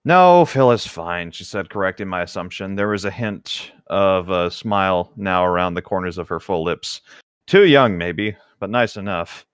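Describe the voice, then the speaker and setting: A man's voice: low-pitched, lively intonation, moderate pace, clear, resonant tone, dry recording, clean studio sound. A man reading a novel aloud as co-host, mixing the text with casual, wry, laid-back asides.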